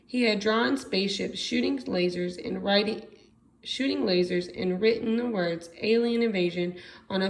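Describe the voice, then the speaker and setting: A woman's voice: medium pitch, creaky, low-quality recording. A woman with an American accent reading a storybook aloud expressively, as a teacher does for young children. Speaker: second grade teacher.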